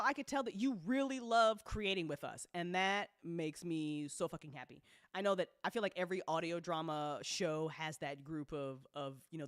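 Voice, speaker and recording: A woman's voice: low voice, fairly bright tone, no echo. Effusive woman, dry close sound. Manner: effusive, swears freely, repeats phrases for emphasis. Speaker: host, audio drama creator. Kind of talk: casual, chatty recap talk to a community.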